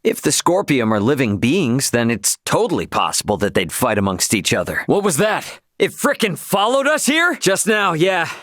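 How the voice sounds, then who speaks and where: An actor playing a brash, hot-headed but loyal young starship captain in a video game, with a blunt, cocky, slangy delivery. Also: male voice, fairly high pitch, huge pitch swings, moderate pace, a bit bright, no echo, clean studio sound. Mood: alarmed, urgent. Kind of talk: voiced video game character lines.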